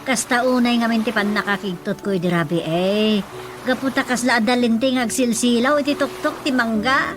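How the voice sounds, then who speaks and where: A woman's voice: fairly high pitch, normal speed, noisy background, natural voice. A woman with a Filipino accent playing an anxious, superstitious rural wife and mother in a radio comedy drama. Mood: uneasy. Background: music.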